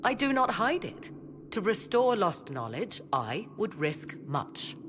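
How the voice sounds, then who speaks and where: A woman playing a proud, eager sorceress scholar in a fantasy game, speaking with formal, measured, theatrical diction. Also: mid-range voice, very animated, noisy background. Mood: proudly insistent. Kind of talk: dramatic fantasy game acting.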